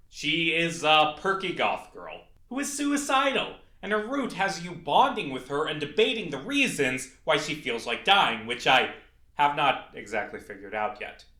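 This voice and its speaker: Animated man narrating in an echoey room. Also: on the high side, moderate pace. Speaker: indie game creator presenting a project. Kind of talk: scripted video essay narration.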